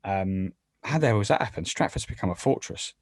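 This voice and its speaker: A man's voice: low-pitched, animated, a little fast, dry recording, studio quality. A man giving casual football opinions as a podcast panellist, hesitantly repeating words as he builds each point.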